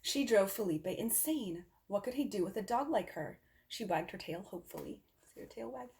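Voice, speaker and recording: Lady reading a kids storybook out loud, expressive, medium pitched voice. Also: moderate pace, slight background noise. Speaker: storybook reader. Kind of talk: expressive children's read-aloud.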